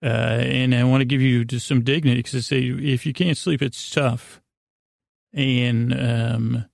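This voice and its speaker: Male bedtime podcast host, creaky gravel voice, goofy halting rambles, close dry sound. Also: mid-range voice, a little mellow, dry recording. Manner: meandering, halting, goofy, self-deprecating.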